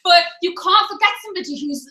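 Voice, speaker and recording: A young woman co-hosting a live stream, talking loudly and energetically and laughing often. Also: extremely high pitch, quick pace, echoey room. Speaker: co-host of a live business coaching stream. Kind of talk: casual live-stream chat.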